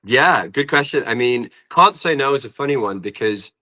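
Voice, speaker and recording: A man's voice: medium pitch, animated, normal speed, bright, forceful tone. A man with a northern English accent chatting casually as an interview guest, rambling informally with lots of "like". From Preston, north of England. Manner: rambling, informal, often says "like". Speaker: guest singer-songwriter and producer.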